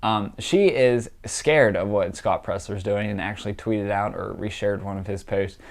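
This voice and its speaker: A young man talks politics in a casual, scornful way, heavy on fillers. His allergies leave him stuffed up and nasal. His fairly low voice carries a gravelly fry. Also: moderate pace. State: congested, stuffy nose from allergies. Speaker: political commentator.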